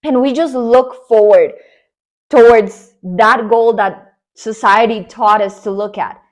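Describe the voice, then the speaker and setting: A young Colombian woman with a Colombian Spanish accent, Spanish her first language, speaks to camera as an online career coach. Her voice sits fairly high, and she talks in an earnest, upbeat way, leaning on emphatic promises to push the listener forward. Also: slow. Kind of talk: motivational talk to camera.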